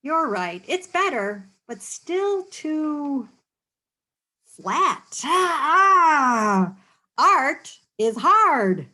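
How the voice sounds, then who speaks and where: A woman's voice: high voice, big pitch swings, drawn-out words, pushed and bright, voice pushed up, exaggerated voice. A woman voicing a young girl artist who is frustrated with her drawing, in a read-aloud storybook.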